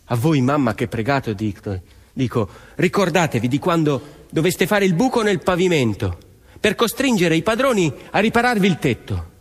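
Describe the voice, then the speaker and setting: Husky, impassioned peasant rebel, echoing hall. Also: male voice, fairly high pitch, very animated, normal speed. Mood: earnest, urging. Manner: emphatic, declamatory. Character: earnest rebellious young peasant man urging action against injustice. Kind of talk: theatrical narrative monologue. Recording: echoey hall with long reverb.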